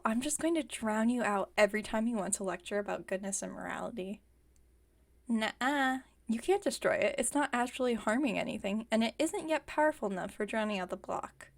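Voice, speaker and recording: A stubborn lady villain who invents things next door, acted by a woman for an ASMR roleplay. Her voice sits fairly high with a marked creak of vocal fry. She rambles chattily, teasing and defending herself with mock innocence. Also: close to an everyday voice. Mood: gleefully mocking, then smug, defensive. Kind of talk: ASMR roleplay, one-sided conversational acting.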